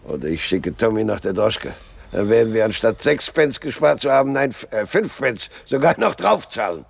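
Gravelly, cantankerous old man. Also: lowish voice, animated, moderate pace, no echo, exaggerated voice. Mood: querulous, scolding. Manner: grumbling, pedantic, declamatory. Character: cantankerous blind elderly husband, miserly and querulous. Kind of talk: radio drama acting.

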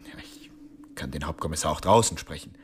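An actor playing a stern, insistent police official in a radio crime drama, officious and emphatic. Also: male voice, rumbling low, a little fast, in a whisper. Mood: controlled, urgent. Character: stern, insistent male police doctor confronting a killer.

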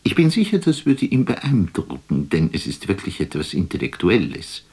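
An actor playing a wistful, weary poet, musing lyrically in a measured radio drama monologue. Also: male voice, sits low, wildly swooping pitch, vocal fry, a little mellow, slightly rough, heavily acted. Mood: animated imagining. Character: wistful, weary male poet imagining a phone call.

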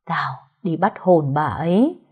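A woman voicing a vengeful ghost that speaks in a slow, hushed, menacing way. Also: sits low, expressive, slightly rough, phone-quality sound, exaggerated voice. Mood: interrogating.

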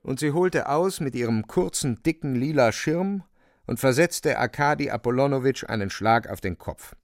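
A man's voice: medium pitch, moderate pace, no room sound, clean studio sound. A man narrating a radio drama in a dramatic, wry style, relishing emphatic wording.

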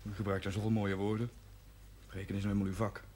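A man's voice: lowish voice, level tone, quick pace, darkish tone, a little hiss or hum, natural voice. An actor playing a defiant, resentful young working man in a television drama, blunt and combative. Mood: bitter, subdued. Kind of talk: 1970s television drama acting.

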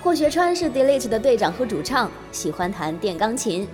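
An upbeat female presenter with a fairly high, very animated voice. She delivers scripted television announcements over clear background music. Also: normal speed. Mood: bright, announcing. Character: upbeat woman presenting an idol group on television. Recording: music playing behind the voice.

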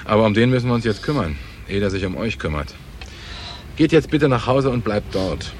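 An actor playing a calm, laconic, sympathetic middle-aged New York police detective in a radio drama. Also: male voice, fairly low pitch, fairly quick, a little hiss or hum, natural voice. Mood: businesslike, calm. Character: Andy, gruff-kind married veteran New York police detective. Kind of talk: 1980s German radio drama acting.

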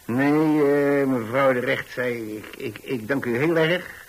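A man's voice: mid-range voice, slow, slight background noise, rough recording. An actor playing an older, plain-spoken pensioner in a radio drama, telling his story in a hesitant, repetitive, folksy way. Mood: hesitant, polite. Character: rambling, plain-spoken old pensioner who takes in lodgers. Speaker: narrator of a radio play.

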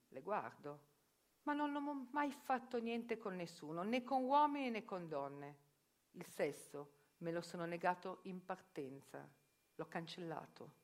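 A proud older sworn virgin who has lived as a man for decades speaks in a lowish, steady voice. The telling is stern and matter-of-fact, like testimony in a theatre monologue for radio. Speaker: actress performing a theatrical reportage.

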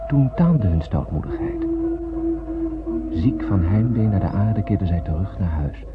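Solemn space traveler telling his story, poetic n dramatic, deepish voice, old tinny radio drama sound. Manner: poetic, declamatory, dramatic, with hushed phrase endings. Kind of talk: scripted radio play monologue. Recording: tinny narrow-band radio recording with music.

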